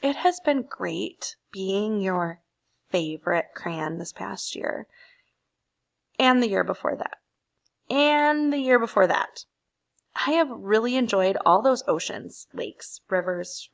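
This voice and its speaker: Lady doing a tired little stubby crayon, kids book, slow and plaintive. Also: a little high, creaky, full character voice. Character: weary, short stubby blue crayon needing a break. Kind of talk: picture book read aloud.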